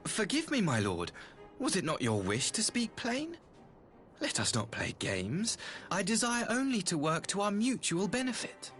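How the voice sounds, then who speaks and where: Courteous, calculating ambassador, higher-voiced and animated. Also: male voice, full character voice. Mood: polite, calculating. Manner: formal, eloquent, theatrical, archaic diction. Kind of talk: scripted video game voice acting. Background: background music.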